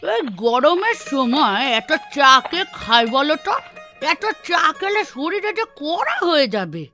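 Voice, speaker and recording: Naggy village housewife in a cartoon, high n really animated, clear music behind. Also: female voice, a little slow, exaggerated voice. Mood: nagging. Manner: nagging, exclamatory. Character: scolding, practical village housewife, bossy but caring. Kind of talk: cartoon voice acting.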